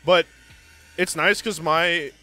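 A man's voice: fairly high pitch, fairly even intonation, slow, sharp tone, soft background music. A young man from Miami chatting casually as a podcast guest about his trucking job, rambling and unsure of details, into a handheld mic in a small room. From Miami, USA. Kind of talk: casual chat. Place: small wood-panelled room, indoors.

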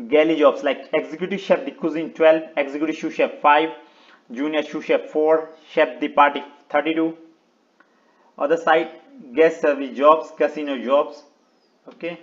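A man with a slightly high voice narrates a screen tutorial step by step. He speaks in short, halting phrases and often repeats himself. The recording is dull and lo-fi. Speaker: video tutorial presenter. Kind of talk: step-by-step website walkthrough, unscripted screen narration.

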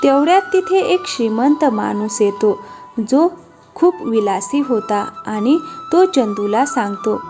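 A woman's voice: fairly high pitch, lively intonation, a little slow, darkish tone. A woman reading scripted narration for a cartoon moral story. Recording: music behind the voice.

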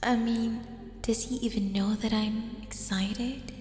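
Woman acting a slow, echoey obsessive schoolgirl. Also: a little high, steady tone. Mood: anxious brooding. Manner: slow, breathy, often dropping into whispers. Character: obsessive, possessive teenage schoolgirl, lonely and lovestruck. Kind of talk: ASMR roleplay monologue. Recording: echoey, long reverb, music behind.

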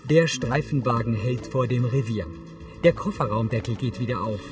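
A male audio description narrator speaks in short, matter-of-fact present-tense sentences. His voice sits at a middling pitch. Music plays clearly behind him. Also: moderate pace.

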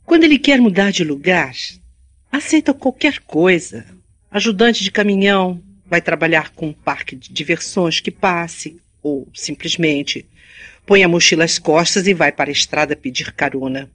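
A woman's voice: lowish voice, lively intonation, normal speed, a bit bright. Radio drama: an articulate young city woman, frank and kind, formal diction. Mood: wistful, animated. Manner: formal, carefully enunciated speech. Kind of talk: Brazilian radio drama acting.

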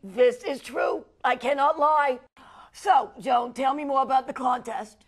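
A woman's voice: sits high, unhurried. A woman playing a sentimental, effusive middle-aged talk show hostess with a New York accent. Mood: gushing. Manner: effusive, melodramatic, Yiddish-sprinkled. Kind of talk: parody talk show hosting.

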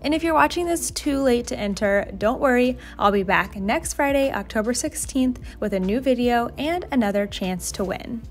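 A woman's voice: on the high side, lively intonation, moderate pace, hardly any pauses. A young woman narrating a home cooking video in a chatty, self-deprecating way, over light background music. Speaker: cooking vlogger. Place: home kitchen.

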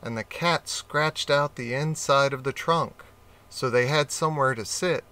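A man reads a children's storybook aloud, slow and gentle, with lots of expression. His voice is bright and crisp, and his pitch sits in the middle range. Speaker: audiobook reader.

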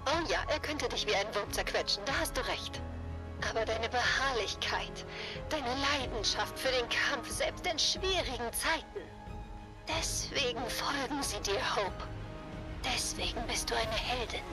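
A woman voicing a wise, steadfast oracle mentor in a German-dubbed video game, measured, emphatic and encouraging. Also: on the high side, expressive. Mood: encouraging, solemn. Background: game music.

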